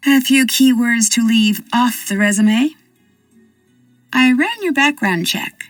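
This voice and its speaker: An actress voicing a confident young American amateur detective who asks direct, probing questions with dry wit. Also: female voice, a little high, lively intonation, takes it slowly, no echo, far from the mic, soft background music. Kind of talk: video game voice acting.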